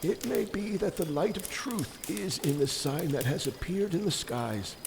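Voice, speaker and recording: Man reading as high-pitched, wise fatherly elder. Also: lively intonation, a little slow, creaky. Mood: gentle, reflective. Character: fatherly elder Magus, questioning yet gently wise. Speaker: amateur church reader. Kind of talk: dramatic reading of a Christmas story. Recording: faint background noise.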